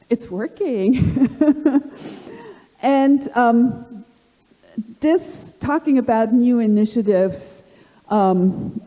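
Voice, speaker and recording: A middle-aged woman with a slight German accent hosting a live panel, halting and full of fillers. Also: medium pitch, mellow. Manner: halting, many fillers and restarts. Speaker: panel host. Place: conference hall. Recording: long hall reverb.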